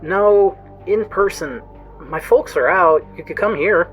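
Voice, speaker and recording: A man's voice: sits high, slow pace, fairly bright tone, faint music behind. A man playing an awkward, earnest, hesitant teenage boy in an audio drama. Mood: nervous, awkward. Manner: hesitant, apologetic. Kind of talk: first-person audio drama narration and dialogue.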